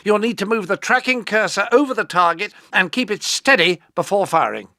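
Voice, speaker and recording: An actor playing an exasperated older British gadget quartermaster in a video game, formal, precise and scolding. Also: male voice, high voice, expressive, fairly quick, pushed and bright, no echo, clean studio sound, exaggerated voice. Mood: fussy, admonishing. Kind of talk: video game mission briefing.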